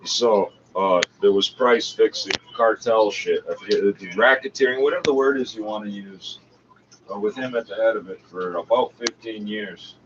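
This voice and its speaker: A man's voice: on the low side, normal speed. A man giving blunt, sardonic, informal commentary on a radio broadcast. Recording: muffled, noisy recording, off-mic.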